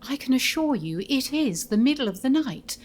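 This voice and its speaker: A woman playing a polite, nervous English governess, hesitant and breathy, in an audio drama. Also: high voice, very animated, moderate pace, darkish tone, slight background noise. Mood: puzzled.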